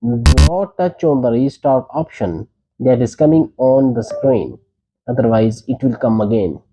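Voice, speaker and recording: A man's voice: mid-range voice, a little slow, dark tone, highs cut off, a little hiss or hum. A man presenting a tech tutorial, giving short, plain step-by-step instructions.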